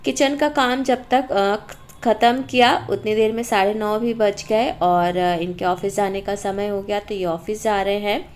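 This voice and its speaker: A woman's voice: mid-range voice, darkish tone. Woman vlogger narrating with a sore throat. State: sore throat from a cold and cough. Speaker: homemaker vlogger and mother. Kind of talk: casual narration of a daily routine and cooking vlog.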